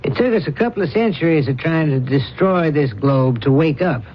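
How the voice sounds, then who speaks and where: A man playing a blunt, commanding older industrialist father in a radio drama, talking in brisk, clipped, forceful phrases. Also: fairly high pitch, animated, a little hiss or hum, close to an everyday voice. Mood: stern. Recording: dull vintage radio broadcast recording.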